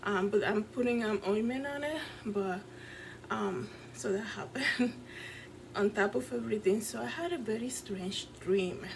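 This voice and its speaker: A woman's voice: medium pitch, measured pace, poor audio. A woman from the Dominican Republic with a Dominican accent, earnestly recounting a dream in a casual, rambling vlog full of fillers, recorded in her apartment. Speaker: vlogger and fashion designer. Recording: clear background noise.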